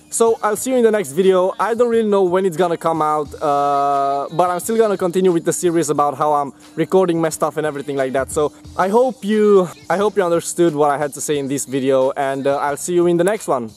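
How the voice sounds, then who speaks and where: A young man from Romania with a Romanian accent, talking casually to camera as a vlogger and rambling with many fillers and restarts. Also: fairly high pitch.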